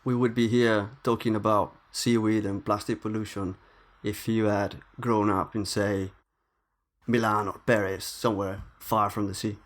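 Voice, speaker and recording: A man's voice: fairly low pitch, little pitch movement, normal speed. A man hosting a podcast, reading a scripted intro and then asking interview questions, at times stumbling over his words. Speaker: podcast host.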